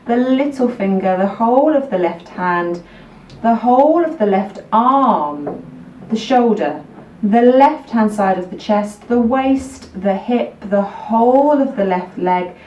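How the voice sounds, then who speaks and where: A woman teaching a guided relaxation practice for children, speaking soothingly in unhurried, repetitive instructions with gentle pauses. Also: on the high side, a little hiss or hum. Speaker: yoga nidra teacher. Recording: roomy echo, distant microphone.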